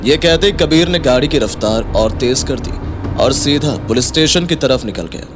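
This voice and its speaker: A man narrates a dramatic audio story from a script. His voice sits at a middle pitch, with music clearly playing behind it. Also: expressive, moderate pace.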